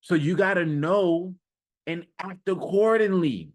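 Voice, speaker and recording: A man's voice: sits high, takes it slowly. A man giving an unscripted motivational monologue as a self-improvement coach, talking in an emphatic, repetitive, slangy and casual way.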